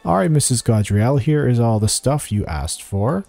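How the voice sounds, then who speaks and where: Casual male gaming guide host, relaxed dark tone, thinking aloud as he plays, light music underneath. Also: medium pitch, normal speed, creaky. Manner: thinking aloud, talking to game characters. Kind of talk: casual video game walkthrough commentary. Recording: music behind the voice.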